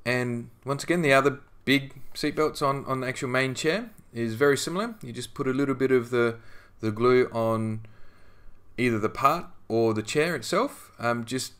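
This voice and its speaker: A man narrating a scale modelling tutorial as a voice-over, relaxed and conversational with frequent ums and restarts. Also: mid-range voice, moderate pace, clear, resonant tone.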